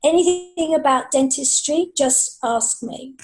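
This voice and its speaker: A woman's voice: sits high, a little slow. A middle-aged woman chatting casually as a guest in a podcast interview. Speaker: podcast guest, freelance writer and author.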